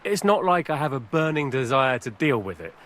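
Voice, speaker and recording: An actor playing a chatty, aggrieved middle-aged medieval village bailiff in a video game. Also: male voice, mid-range voice, very animated, fairly quick, fairly bright tone. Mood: indignant. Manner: garrulous, grumbling.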